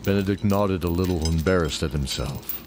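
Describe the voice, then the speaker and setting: A man reads scripted fantasy narration in a dramatic manner. His voice is very deep, with clear ambient noise behind him. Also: a little fast, a little mellow.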